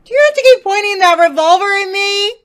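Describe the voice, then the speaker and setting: A spirited young society woman, acted by a woman in an old-time radio crime drama. Her voice is extremely high and piercingly bright, leaping about in pitch with exclamatory drama. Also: lively intonation, fairly quick. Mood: alarmed. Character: indignant, frightened but defiant young society woman.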